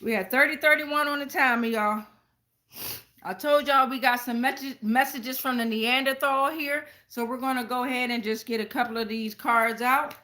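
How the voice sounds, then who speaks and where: A woman's voice: high voice, steady tone, normal speed, sharp tone. An American woman giving a casual live tarot reading in a slangy, profane, rambling way. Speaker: tarot reader, channel host.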